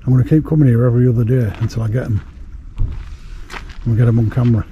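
A man's voice: medium pitch, steady tone, rapid-fire, narrow, tinny audio, slight background noise. Chatty man outdoors at night. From England. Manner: rambling, chatty, with many fillers. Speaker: fishing vlogger. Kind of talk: casual update to camera.

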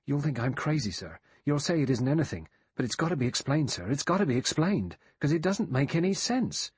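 A man's voice: on the low side, normal speed, a little mellow, husky, no room sound. A man voicing a deferential, apologetic butler in an audiobook mystery. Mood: agitated, pleading. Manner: servile, apologetic. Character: deferential male butler, restrained but easily rattled.

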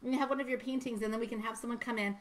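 A woman's voice: a little high, steady tone, very fast, over a noisy crowd. A woman in her thirties hosting a virtual wine tasting, talking in a bubbly, rambling way with many fillers. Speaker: winery host. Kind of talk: casual chat, virtual tasting. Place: winery tasting room, indoors. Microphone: tabletop mic on stand.

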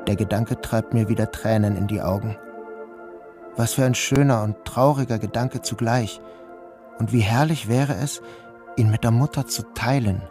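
An actor playing a troubled grown son who narrates his own story in an introspective, measured literary style. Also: on the low side, music in the background, close to an everyday voice. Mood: brooding, tense. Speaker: first-person narrator and protagonist. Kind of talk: literary audio drama narration.

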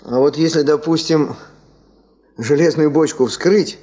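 Actor as a folksy, higher-voiced field hand. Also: male voice, measured pace, dark tone, natural voice. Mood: good-natured, practical. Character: experienced, good-natured, practical male field hand. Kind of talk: 1970s Soviet radio drama acting.

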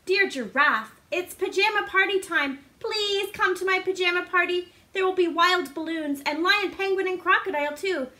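A woman reading a storybook aloud to young children in an animated, sing-song way, often putting questions to the listeners. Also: very high-pitched, normal speed, few pauses. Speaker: children's read-aloud presenter. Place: living room indoors. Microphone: device camera a short distance away.

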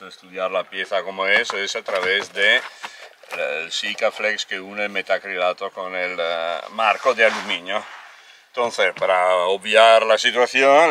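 A man from Spain explains a hatch repair step by step aboard a moored sailboat. His voice sits low but has a bright edge. He is a bit far from the mic, with faint noise behind him. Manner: explains step by step, plain and practical. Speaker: vlog co-host doing boat repairs. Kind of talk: casual how-to explanation.

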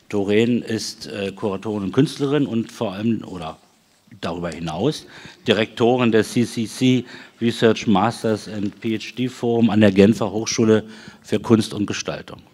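Low-voiced, hesitant older man moderating. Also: a little mellow. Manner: hesitant, searching for words. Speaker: panel moderator. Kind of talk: moderating and commenting, in German. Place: auditorium stage at a public panel. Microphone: handheld microphone.

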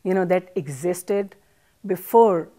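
A woman's voice: on the low side, takes it slowly, mellow. An older woman from Pakistan, a chef and author, answering interview questions thoughtfully with frequent fillers, on a studio set with a lapel mic. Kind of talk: interview conversation. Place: studio set with armchairs and plants.

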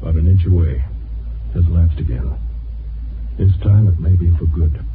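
An actor playing a grim, matter-of-fact hospital doctor in 1950s radio style. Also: male voice, low-pitched, moderate pace, over loud music, lightly acted. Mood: grim, flat. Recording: muffled, noisy old radio recording.

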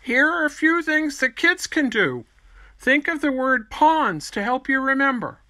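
Woman as a slow-talking, high-voiced schoolchild. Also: animated, sharp tone, dry recording, heavily acted. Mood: helpful. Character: helpful, careful fifth-grade child in a puppet show. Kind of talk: scripted puppet show dialogue.

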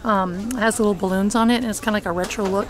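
A woman's voice: medium pitch, steady tone, quick pace, crowd noise. A woman in her thirties chatting upbeat to camera as she walks a large store, reading out prices. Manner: chatty, upbeat, reads out prices, frequent gasps and little laughs. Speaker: shopping vlogger. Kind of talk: casual vlog walkthrough. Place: large indoor retail store. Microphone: handheld wide-angle camera at arm's length.

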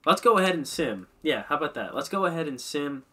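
A bright, forceful young man with a slightly stuffy, congested voice, chatting loosely at a desk microphone. He rambles, laughs at himself and swears now and then while clicking through menus, with keyboard typing faintly behind him. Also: fairly high pitch, animated, quick pace. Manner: rambling, self-mocking, swears. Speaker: gaming commentator. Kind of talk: casual game commentary. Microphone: desk mic.

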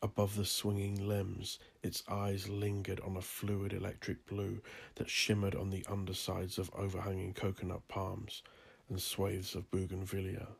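Deep gravelly guy reading a creepy horror tale, real slow and brooding, kinda husky. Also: monotone. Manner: slow, brooding, deliberate delivery. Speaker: narrator. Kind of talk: dark horror audiobook narration.

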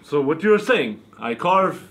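A man's voice: on the high side, sing-song, takes it slowly, a bit bright, heavily acted. A man playing a cranky, theatrical ancient vampire host with a put-on Transylvanian accent, ranting and swearing comically in character. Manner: hammy, ranting, profane.

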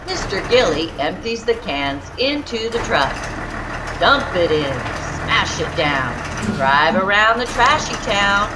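A woman reading a picture book aloud to young children as a storyteller, with expressive, exaggerated emphasis and music behind her. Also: medium pitch, takes it slowly, very noisy. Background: background music.

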